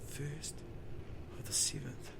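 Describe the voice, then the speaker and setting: A man's voice: takes it slowly, very noisy, natural voice. A man playing a time-travelling fisherman who tells his story in the first person, theatrical and anguished, in an audio drama. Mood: stunned, hushed. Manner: theatrical, swings from breathy murmur to anguished shouting.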